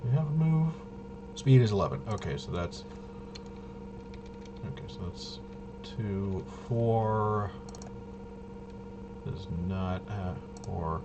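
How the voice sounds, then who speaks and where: A man's voice: lowish voice, animated, takes it slowly, pauses often, noisy background. A man casually walking through tabletop gameplay, thinking aloud and hesitantly muttering to himself as he works things out. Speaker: solo tabletop RPG player and presenter.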